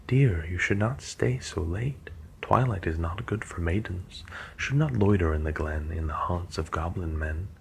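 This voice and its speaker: A man reading the lines of a cautious young woman who warns her sister, softly and gently. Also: sits low, measured pace, vocal fry, a little hiss or hum. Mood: anxious pleading.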